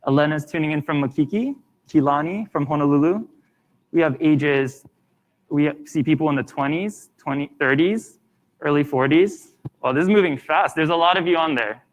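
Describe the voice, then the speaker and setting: A man's voice: fairly high pitch, moderate pace, highs cut off. A man co-hosting a live candidate event, friendly and conversational as he reads out names and welcomes people. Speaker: event co-host.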